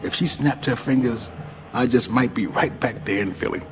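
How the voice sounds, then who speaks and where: A man's voice: medium pitch, soft background music, close to an everyday voice. A man acting a laid-back, mischievous, worldly friend in a radio drama, telling things in a wry, colloquial way. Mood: wry, amused. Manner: unhurried, wry, chuckling, colloquial.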